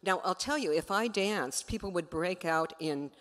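A woman's voice: fairly low pitch, animated, moderate pace, a bit bright. A woman speaking informally from an audience, giving a personal comment and question at a religious gathering. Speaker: audience member, film mission worker. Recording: reverberant hall.

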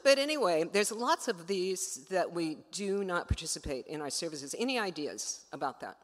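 A woman's voice: on the low side, expressive, normal speed. A woman speaking informally from an audience, giving a personal comment and question at a religious gathering. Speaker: audience member, film mission worker. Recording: reverberant hall.

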